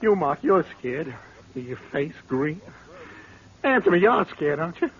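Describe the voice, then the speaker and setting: Muffled old radio sound carries a frightened, aggressive man. His high voice lurches across a wide range, jittery and taunting in vintage horror style. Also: very animated, a little slow. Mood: defensive, agitated. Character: shaken, belligerent coward accusing others of fear. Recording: dull lo-fi old radio recording.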